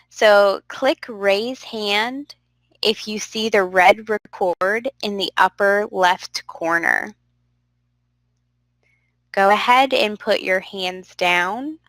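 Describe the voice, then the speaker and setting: A woman's voice: mid-range voice, slow, fairly bright tone, no room sound, low-quality recording. A young adult woman teaching a virtual class, upbeat and encouraging, a little stuffy from a cold, talking into a computer microphone. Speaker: instructor. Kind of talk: online class lecture.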